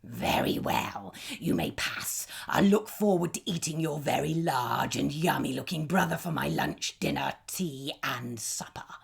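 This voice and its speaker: A woman voicing a hungry bullying male troll, slow and booming, in a storybook reading. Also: big pitch swings, gravelly, husky, exaggerated voice. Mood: menacing.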